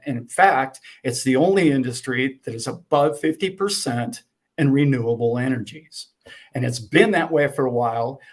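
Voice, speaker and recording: A man explaining to a host with animation, acting out little exchanges as he goes. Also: medium pitch. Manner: animated, acts out dialogue. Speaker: guest.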